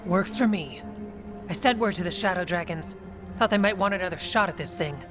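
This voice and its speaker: An actress playing a frank, weary warrior officer in a video game, speaking in a plain, businesslike way. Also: female voice, on the low side, a little fast, close to an everyday voice. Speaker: Warden officer giving battle briefing. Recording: music behind the voice.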